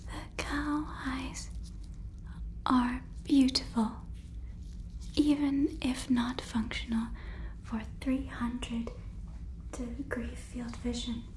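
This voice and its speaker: An earnest female alien, acted by a young woman speaks in a soft, stilted ASMR whisper. Her voice is high and mellow, with a roomy echo around it. The phrasing is formal and oddly inhuman. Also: flat delivery, very slow, pauses often. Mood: solemn, gentle. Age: young adult. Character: earnest, awkward female alien examining a supposed cow. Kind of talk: ASMR roleplay sitcom. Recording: roomy echo, faint background noise.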